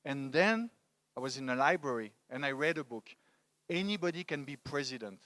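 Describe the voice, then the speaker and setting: A man in his forties from France, with a French accent, giving a motivational talk into a handheld microphone in a halting, emphatic way. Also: mid-range voice, animated, a bit bright, no echo. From France, grew up in Paris. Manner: halting, emphatic, repeats words for stress. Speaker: conference speaker, storytelling coach. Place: indoor stage at a conference.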